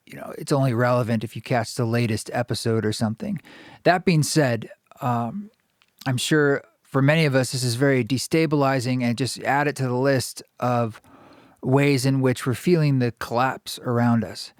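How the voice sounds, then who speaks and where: A male podcast host with a mid-range voice who talks in a slow, searching way, with frequent pauses and fillers. The sound is dry and close, with no room echo. He comes across as earnest and reflective. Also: medium pitch. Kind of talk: reflective podcast monologue and interview.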